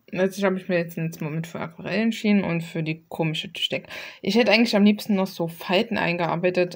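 A young adult woman chatting casually in a rambling, slangy, self-deprecating way with mild swearing, like an artist presenting her own work in a vlog. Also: lowish voice. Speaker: illustrator presenting own artwork. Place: small room at home, desk.

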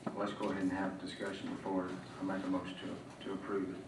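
Council chair, man; very low and completely flat, businesslike, far from the mic with hum behind. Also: rapid-fire. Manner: businesslike, orderly. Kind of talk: chairing a public meeting. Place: city council chamber. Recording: echoey room, distant mic, background hum.

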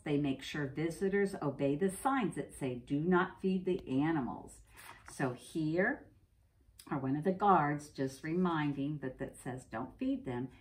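An American woman, a middle-aged preschool teacher, reading a picture book aloud to young children and pausing to explain things simply. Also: sits low. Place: indoors, classroom.

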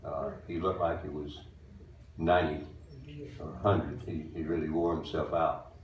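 A man in his eighties with a deep voice, talking plainly to an audience from a small stage, halting with many uhs. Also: level tone, slight background noise. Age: 80s. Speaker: bandleader introducing a tribute show. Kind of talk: casual stage talk to an audience. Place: small wooden-walled music barn with audience. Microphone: far camera recording a stage mic. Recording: roomy hall sound from far off.

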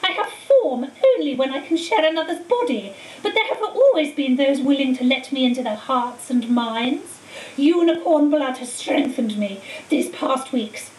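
A woman reading a book aloud, voicing a cold, hissing dark lord who speaks slowly and shrieks his commands. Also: sits high, animated. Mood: menacing. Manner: slow, menacing, shrieks commands. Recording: echoey room, distant mic, faint hiss.

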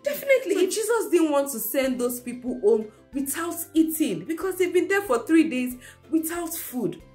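A woman's voice: high voice, normal speed, soft background music. A young woman teaching a children's church class, retelling a Bible story to small children with lots of repetition and questions. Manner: animated, repeats key phrases for kids. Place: indoor room at home.

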